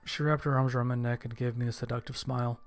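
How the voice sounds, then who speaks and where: A man narrates a scripted first-person story. His voice is medium in pitch with little melody. The delivery is measured and understated, over faint background music. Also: level tone. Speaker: narrator and voice actor.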